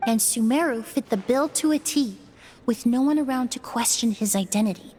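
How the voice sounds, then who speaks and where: A woman voicing a measured young investigator. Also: fairly high pitch, darkish tone, soft background music, close to an everyday voice. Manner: measured, explanatory, deductive. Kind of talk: voiced game dialogue.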